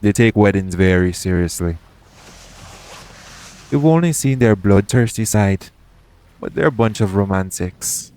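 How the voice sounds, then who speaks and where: A man playing a shady, smooth-talking pirate captain in an audio roleplay, coaxing and self-assured in a conversational way. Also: fairly low pitch, a little slow, pauses often, darkish tone. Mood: reassuring. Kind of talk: second-person audio roleplay acting.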